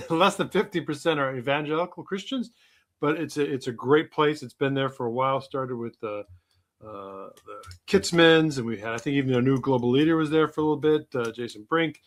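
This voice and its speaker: A male podcast co-host with a mid-range voice who talks in a loose, rambling, conversational way. He drifts through his introduction with plenty of fillers. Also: mid-range voice. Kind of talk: casual podcast talk.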